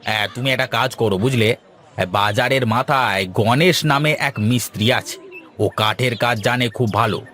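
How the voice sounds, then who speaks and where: A man's voice: medium pitch, moderate pace, a bit bright, natural voice. A man voicing a talkative, helpful toy-shop keeper in a cartoon. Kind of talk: cartoon voice acting.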